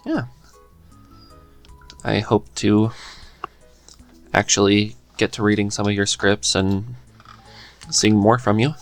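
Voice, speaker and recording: Low-pitched casual podcast host. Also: flat delivery, slow, long pauses, faint music behind. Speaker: podcast host and script writer. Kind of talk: casual interview chat.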